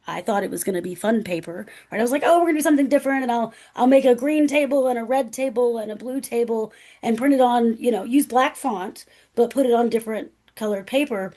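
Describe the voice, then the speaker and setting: A woman speaking as a guest presenter, a professor with a loud, carrying voice who talks emphatically, often checking in with "right?". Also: on the high side. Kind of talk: conversational presentation.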